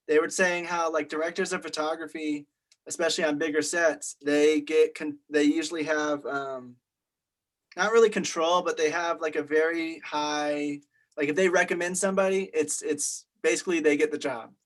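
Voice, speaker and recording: Man with a slightly high voice, talking casually. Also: fairly even intonation, moderate pace, rough recording. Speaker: media studies student. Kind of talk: casual group discussion.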